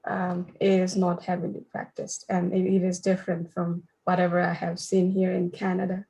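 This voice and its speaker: A woman from Nepal speaks English with a Nepali accent in a very flat, even intonation. Her voice is husky and unclear at the edges as she reads questions and explains them to parents. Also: on the low side, moderate pace, poor audio. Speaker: early childhood program facilitator.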